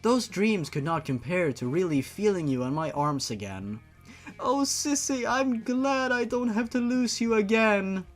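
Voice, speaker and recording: A man's voice: sits high, big pitch swings, measured pace, soft background music, pitched above the performer's own voice. A man voicing affectionate young sisters in a story, with a sweet and theatrical manner. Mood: tender, happy. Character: affectionate young sisters reunited after resurrection.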